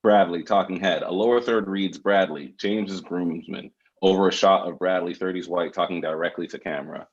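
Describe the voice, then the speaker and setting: Very deep-voiced man reading stage directions. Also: fairly even intonation, brisk, few pauses, highs cut off. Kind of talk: scripted narration at a table read.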